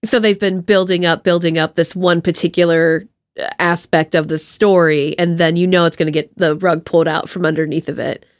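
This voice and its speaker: A woman's voice: lowish voice, fairly even intonation, moderate pace, no echo. A woman hosting a podcast, chatting casually as she interviews. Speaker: podcast host.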